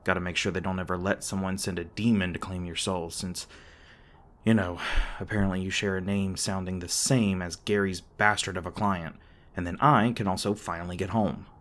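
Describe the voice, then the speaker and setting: A man's voice: low voice, steady tone, normal speed, fairly bright tone. A man playing a teasing, crass but caring demon boyfriend in a conversational audio roleplay, talking casually with some hesitation. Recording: clearly noisy background with ambient sound effects.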